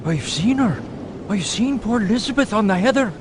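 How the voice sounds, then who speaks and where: An actor playing a fearful, superstitious groundskeeper, full of foreboding warnings in a game cutscene. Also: male voice, lively intonation, moderate pace. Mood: spooked, sorrowful. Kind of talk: dramatic game cutscene acting. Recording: noisy, rumbling stormy background.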